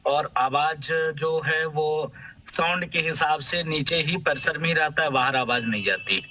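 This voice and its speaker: A man's voice: on the high side, measured pace, sharp tone, rough recording. A man, a religious leader, arguing emphatically as a debate guest through personal accounts and repeated phrases. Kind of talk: anecdotal argument in a TV debate.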